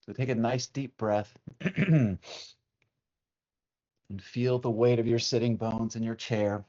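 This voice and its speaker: A man leading a guided meditation as a teacher, speaking in a soothing, unhurried way with long pauses. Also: mid-range voice, steady tone, dark tone. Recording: dull, muffled lo-fi sound.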